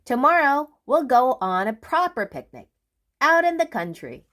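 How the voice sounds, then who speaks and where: A woman puts on a restless, bossy little busybody of a storybook man, pitched high. He is eager and hurried, with very lively swings in his exclamations. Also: full character voice. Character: brisk, bossy, restless busy male storybook character.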